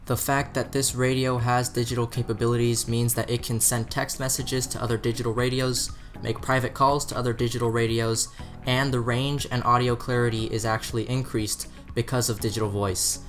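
A man's voice: mid-range voice, little pitch movement, brisk. A man with an American accent presenting a scripted product review as a shop's presenter. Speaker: presenter from a two-way radio shop. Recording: music behind the voice. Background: guitar music.

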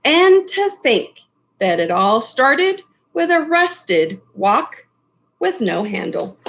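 Middle-aged woman, slightly high voice, slow pace, expressive children's read-aloud, casual asides. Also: vocal fry, a little hiss or hum. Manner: unhurried, pausing to comment to young listeners. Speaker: storyteller reading a picture book aloud. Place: small furnished room at home, indoors. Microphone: webcam at desk distance.

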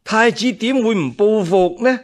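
Male Cantonese solo storyteller for radio serial. Slightly raised pitch, slow pace, expressive, conversational asides. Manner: colloquial, expressive, addresses listeners with asides. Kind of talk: traditional Chinese radio storytelling, dramatized narration.

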